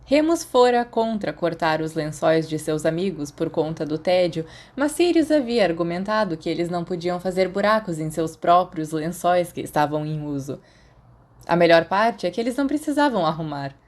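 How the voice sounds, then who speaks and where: A woman's voice: on the low side, lively intonation, moderate pace, darkish tone. A woman with a Brazilian accent reading a scripted story as an audiobook narrator. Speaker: audiobook narrator of fan fiction.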